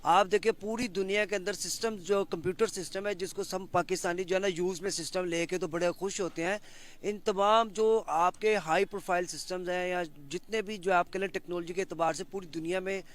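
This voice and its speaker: A man's voice: high voice, fairly even intonation, a little fast. A man giving his opinion in an interview, thoughtful with many fillers. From Pakistan. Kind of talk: casual opinion.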